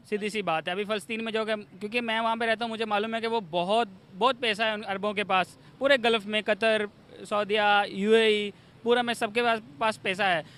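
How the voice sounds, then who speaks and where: A man's voice: high voice, steady tone, moderate pace, fairly bright tone, clean tone. A man answering casual interview questions hesitantly, mixing in English words. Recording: street noise with vehicles. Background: outdoor traffic.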